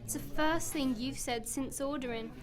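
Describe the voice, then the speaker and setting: A woman's voice: sits high, normal speed, crowd noise, natural voice. A woman in an amateur radio play acting a suspicious, exasperated wife, accusing and sarcastic. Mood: angry, reproachful.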